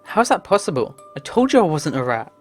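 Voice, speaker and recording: A woman's voice: low-pitched, big pitch swings, brisk, faint music behind. A woman voicing a drowsy, exasperated, teasing friend in a visual novel, with grumbling retorts.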